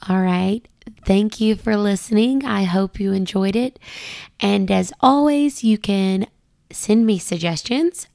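A woman hosting and reading children's storybooks aloud in an expressive, simple way for young children. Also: mid-range voice, creaky. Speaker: children's storybook podcast host and reader.

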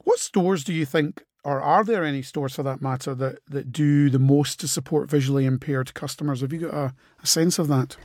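A man hosting an interview, measured and conversational, with many you-knows and agreeing replies. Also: fairly high pitch, a little mellow, no echo, studio quality. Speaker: host.

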